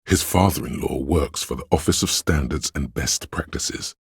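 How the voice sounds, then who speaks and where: A man voicing a businesslike female police officer in a video game, husky, polite and matter-of-fact. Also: rumbling low, normal speed, dry recording, studio quality, exaggerated voice. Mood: dryly informative. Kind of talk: dramatic game dialogue.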